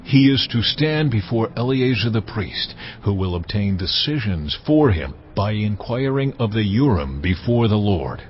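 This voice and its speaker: Low, commanding voice of God. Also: male voice, very animated. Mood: solemn commanding. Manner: solemn, measured, commanding. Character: God, authoritative male Lord giving commands. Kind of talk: dramatized audio Bible acting. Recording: dull audio, faint background noise.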